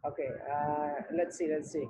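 A man's voice: a little high, flat delivery, normal speed, mellow, rough recording. Man teaching on a video call. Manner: repeats himself, many fillers. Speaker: English teacher hosting online class. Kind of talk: casual online class talk. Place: home room on video call.

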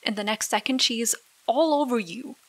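A woman playing a jealous, hurt girlfriend in an ASMR roleplay argument, halting and exasperated, with sarcastic edges. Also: a little high, fairly bright tone, dry recording. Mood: sarcastic, upset. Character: jealous, hurt young girlfriend arguing with partner. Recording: dry close mic, rain behind. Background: rain.

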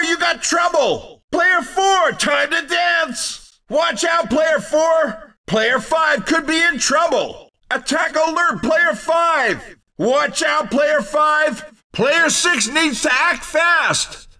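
An excitable male video game race announcer. His voice is very high and piercingly bright, and he shouts punchy, showy calls at a measured pace. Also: distant mic, exaggerated voice. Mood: urgent, alarmed. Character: excitable, showy male arcade racing commentator. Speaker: race commentator.

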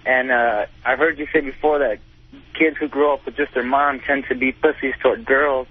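A man's voice: medium pitch, steady tone, moderate pace, slightly rough. A twenty-year-old man calling a radio show, sounding hesitant and unsure in casual talk. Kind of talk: casual phone-in chat. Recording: tinny phone line on radio.

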